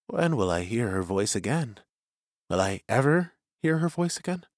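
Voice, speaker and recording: A man's voice: fairly high pitch, takes it slowly, no echo, clean studio sound, pitched above the performer's own voice. A man voicing a timid, earnest young male creature in a storybook, hesitant and sincere. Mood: forlorn.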